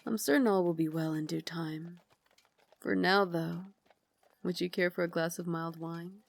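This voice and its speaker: Woman playing a soft-spoken, low Victorian vampire lady. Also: fairly even intonation, unhurried, vocal fry, dry recording. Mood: gracious, refined. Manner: refined, formal, soft-spoken, doting. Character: elegant Victorian vampire lady, caring and gently commanding. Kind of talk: ASMR roleplay, slow intimate scripted acting.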